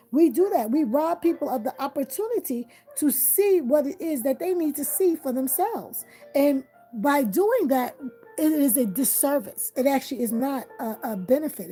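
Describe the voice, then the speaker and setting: A woman's voice: high voice, dark tone. A woman hosting a live tarot reading. She talks earnestly and encouragingly, repeats her phrases and often checks in with "okay?" and "you understand?".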